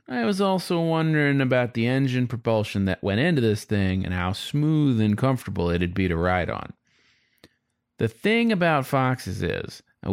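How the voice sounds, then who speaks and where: A low, lively voice for a young male fox, performed by a man, narrating a storybook tale in the first person. He is cheeky and lustful, chatty and confiding, with wry asides and big swings in pitch. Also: gravelly, no echo, studio quality.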